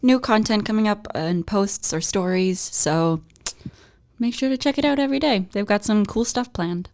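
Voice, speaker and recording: A woman's voice: mid-range voice, moderate pace, darkish tone, dry recording. A young adult woman co-hosting a student radio show, chatting casually through news items and laughing often.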